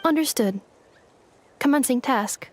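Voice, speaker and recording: A woman's voice: high-pitched, expressive, unhurried, a little hiss or hum. A woman voicing a polite, composed robot companion in a video game, speaking in formal, clipped, procedural phrases. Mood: calm, matter-of-fact.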